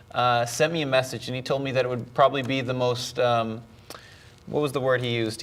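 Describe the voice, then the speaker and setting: A young man talks as a guest in a casual interview. He tells his story in an easygoing way, pausing now and then with a mild "um". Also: mid-range voice, a bit bright.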